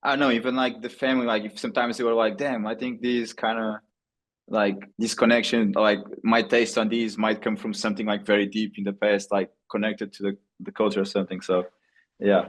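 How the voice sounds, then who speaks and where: A man's voice: on the low side, flat delivery, brisk. Casual man with a Portuguese accent. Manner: hesitant, repetitive phrasing full of "like" and "yeah". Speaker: interviewer and fellow musician. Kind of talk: casual interview chat.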